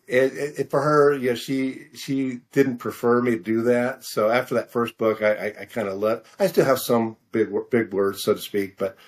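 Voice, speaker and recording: An older man answering interview questions as a guest author, speaking in a hesitant, halting, rambling way. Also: mid-range voice. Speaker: novelist guest being interviewed.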